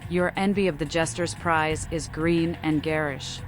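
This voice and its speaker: A woman's voice: deep voice, fairly even intonation, measured pace, poor audio. An actress voicing a mad female vampire seer in a video game, theatrical and cryptic. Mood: wry, challenging. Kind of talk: video game protagonist voice-over. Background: music.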